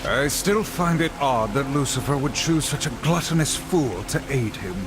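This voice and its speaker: A man's voice: medium pitch, lively intonation, moderate pace, background noise, close to an everyday voice. An actor playing a wrathful, duty-bound horseman of the apocalypse in a game, stern, solemn and terse. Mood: grim. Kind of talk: video game cutscene acting.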